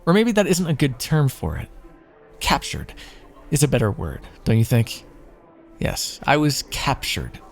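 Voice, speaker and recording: A man acts out a smug royal in love, for a fantasy audio roleplay. His mid-pitched male voice has a heavy, gravelly fry, and his delivery is theatrical and teasing, with courtly phrasing. Music plays behind him. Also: wildly swooping pitch, a little slow, exaggerated voice. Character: smug, lovestruck young royal, a teasing former captive.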